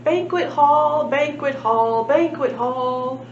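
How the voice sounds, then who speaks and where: A woman's voice: sits high, slow pace, creaky, echoey room, highs cut off, slight background noise, pitched above the performer's own voice, heavily acted. A woman reading a storybook, voicing festive serving girls as they sing in rehearsal. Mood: festive, singsong. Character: singing serving girls rehearsing a birthday song. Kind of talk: singsong storybook chant.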